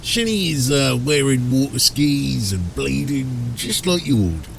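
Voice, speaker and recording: Ork crewman, underling, creaky, slow, lively swooping pitch, coarse grammar, fantasy audiobook. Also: a little hiss or hum. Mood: nervous. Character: hesitant, eager-to-please male ork crewman underling. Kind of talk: fantasy audiobook character acting.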